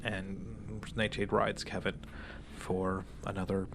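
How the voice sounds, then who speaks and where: Low-voiced man running a tabletop game. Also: level tone, slow, fairly bright tone, a little hiss or hum. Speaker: game master. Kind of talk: improvised tabletop game narration, casual.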